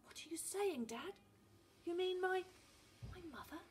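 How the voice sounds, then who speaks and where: A woman reading a story aloud, voicing a young boy who pleads in an excited rush. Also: very high voice, measured pace, slight background noise, pitched above the performer's own voice.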